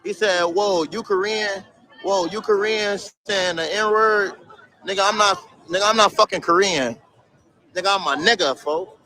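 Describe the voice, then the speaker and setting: A young man with a high, bright, ringing voice talks loosely to his stream chat, full of slang and swearing. He reads viewer comments aloud and laughs them off while out on a city street, talking into a phone held at arm's length. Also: a little slow. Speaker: livestreamer. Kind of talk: casual livestream chat reading viewer comments.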